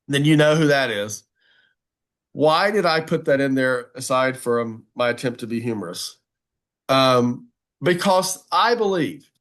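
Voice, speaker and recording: Higher-pitched man, emphatic speaker. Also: fairly bright tone. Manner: emphatic, folksy, repeats phrases for emphasis. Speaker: advocacy speaker giving a webinar talk. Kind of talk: motivational presentation to a group.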